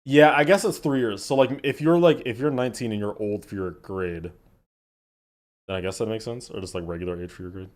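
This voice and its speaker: A man in his twenties chatting casually with his live-stream chat, laughing and swearing in quick asides. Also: fairly low pitch. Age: 20s.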